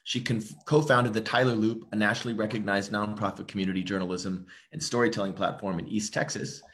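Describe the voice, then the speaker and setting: A man's voice: on the low side, fairly even intonation, brisk, a bit bright. A man with a smoky voice, a club member, reading out a formal introduction of the guest speaker.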